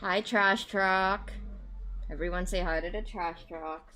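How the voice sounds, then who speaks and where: A woman chatting casually while playing a video game, bubbly and giggly, her voice a little hoarse from singing strain. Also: on the low side, steady tone, slow, sharp tone, a little hiss or hum. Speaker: streamer. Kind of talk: casual let's play chat. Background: game audio.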